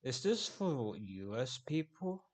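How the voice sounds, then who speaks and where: A man from Finland livestreaming casually, rambling with halting restarts. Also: medium pitch, very animated, darkish tone, husky.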